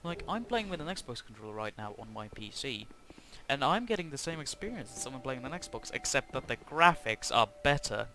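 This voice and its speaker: A man from Norway, talking in a halting, self-conscious way as he gives casual commentary over a video game. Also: medium pitch, big pitch swings. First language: Norwegian. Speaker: gaming channel host. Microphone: cheap headset mic. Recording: cheap headset mic with loud background noise.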